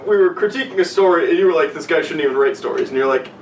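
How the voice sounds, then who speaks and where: A young man bantering casually on a podcast, animated and profane as he talks. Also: fairly high pitch, racing. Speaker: podcast panelist. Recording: roomy, distant mics, some noise.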